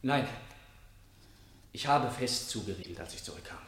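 An actor playing an anguished young man accused of a crime in a 1960s German radio play, halting and haunted, between hushed murmurs and desperate protest. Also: on the low side, expressive. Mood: haunted, hesitant. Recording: roomy, echoing 1960s radio studio.

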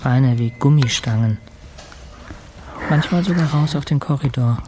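An actor playing a weakened male patient, narrating his recovery in terse, measured fragments as a radio drama monologue. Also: medium pitch, noisy background, lightly acted. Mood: weary, sober. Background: music.

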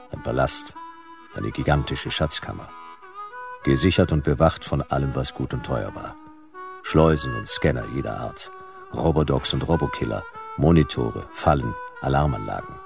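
A man's voice: very deep voice, normal speed, creaky, music in the background. A man playing a world-weary middle-aged private detective, narrating a noir radio play in a laconic, dry, clipped way. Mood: wry, laconic.

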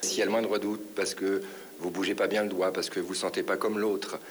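A man's voice: low-pitched, little pitch movement, fairly quick. A man explaining things as an expert in a radio interview. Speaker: hand surgeon. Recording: hissy radio interview recording.

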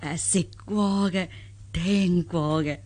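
Slow, husky, humble old countrywoman. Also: fairly low pitch, fairly even intonation, darkish tone. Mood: humble gratitude. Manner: deferential, rambling, sighing old-woman delivery. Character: humble, grateful elderly countrywoman, talkative and deferential. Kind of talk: Cantonese radio drama acting.

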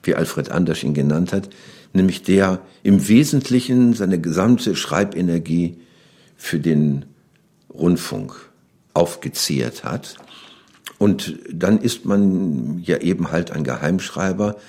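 A man speaks as an interviewed commentator, analysing as he goes. His voice is very low and rather husky. The phrasing is spontaneous and conversational. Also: animated, takes it slowly, mellow.

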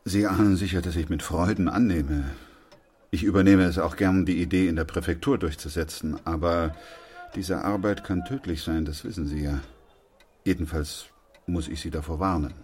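A man playing a sober, weary, steadfast doctor in a German radio play, speaking in a grave, measured, reflective way. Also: sits low, steady tone, a little mellow, natural voice. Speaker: actor playing the narrator and lead.

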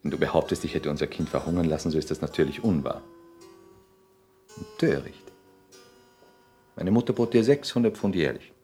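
A male actor playing a cynical upper-class lord in a radio play, with polished, measured, condescending delivery. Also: very deep voice, warm tone, soft background music. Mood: coolly dismissive. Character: suave, cynical upper-class English lord, cool and self-assured. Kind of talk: 1960s German radio play acting.

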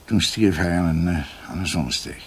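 An actor playing an older, bookish doctor who tells family history in a halting, musing way, in radio drama style. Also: male voice, low-pitched, animated, a little hiss or hum. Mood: musing, explaining. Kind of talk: radio drama acting, storytelling.

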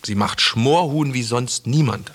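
An actor playing a hen-pecked village blacksmith in a radio drama, who speaks plainly and a little hesitantly. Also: male voice, medium pitch, a little slow, close to an everyday voice.

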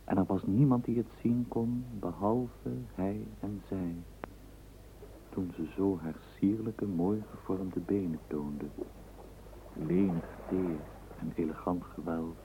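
A man narrating a literary radio play in a measured storytelling manner, on a thin and noisy recording. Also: low-pitched, fairly even intonation, phone-quality sound. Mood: measured, lyrical.

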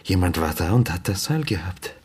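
An actor playing an older widowed father, haunted and insistent in his grief, speaking haltingly and hushed in a husky, creaky voice in a radio drama. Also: sits low, big pitch swings, exaggerated voice. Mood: anguished pleading. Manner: halting, hushed, often near a whisper.

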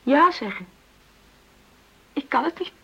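An actress in a radio drama playing an unhappy middle-aged wife who feels trapped, speaking openly and haltingly in a husky voice. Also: female voice, high-pitched, lively intonation, muffled recording, exaggerated voice. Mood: anguished. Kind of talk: naturalistic radio drama dialogue.

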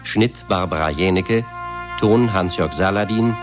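A man with a deep voice makes a formal scripted announcement for a radio play. He reads slowly and deliberately, over loud music.

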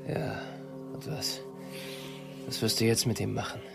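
A man acting in a radio drama as a bitter, insistent man confronting an old rival, gravelly and intense. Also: mid-range voice, fairly even intonation, unhurried, over music. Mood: bitter, tense. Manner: intense, demanding.

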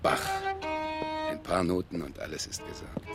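An earnest, dreamy male researcher in a radio play. His voice sits fairly low and swings widely in pitch, expressive and easily flustered, with music playing behind him. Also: normal speed, exaggerated voice. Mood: rapt, poetic.

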